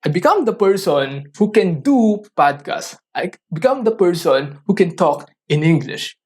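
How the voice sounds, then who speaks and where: A man's voice: sits high, big pitch swings, darkish tone. Earnest man coaching listeners. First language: Tagalog. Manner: earnest, coaching, asks listeners rhetorical questions; halting, restarts sentences often. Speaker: podcast host. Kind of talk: motivational solo podcast talk.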